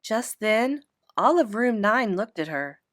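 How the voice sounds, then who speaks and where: A woman's voice: mid-range voice, very animated, takes it slowly, no echo, studio quality. A woman reading aloud a children's story, narrating expressively in a child's first person.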